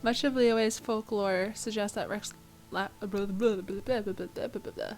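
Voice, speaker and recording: A woman's voice: medium pitch, steady tone, measured pace, soft background music. A woman game streamer casually commenting on a playthrough and reading text aloud.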